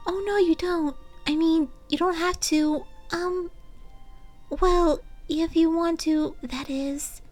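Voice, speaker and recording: Casting: sweet, timid female pony for a storybook, high and faint, halting and gentle, over music. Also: fairly even intonation. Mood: flustered, shy. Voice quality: soft, faint, breathy. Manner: hesitant, shy, halting with ums.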